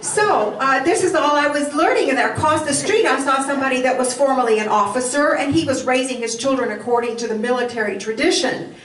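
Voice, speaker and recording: An older woman from Poland whose first language is Yiddish, telling animated stories in a lecture with a handheld mic in a hall. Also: high-pitched, fairly quick, hardly any pauses. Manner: animated, does voice imitations. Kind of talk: storytelling lecture. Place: hall with curtains, indoors. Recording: roomy hall from far off, faint noise.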